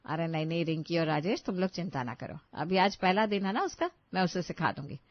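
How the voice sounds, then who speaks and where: A woman voicing a kindly, protective older Indian mother-in-law who gently instructs the family. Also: on the low side, expressive, brisk, no room sound, highs cut off. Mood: soothing.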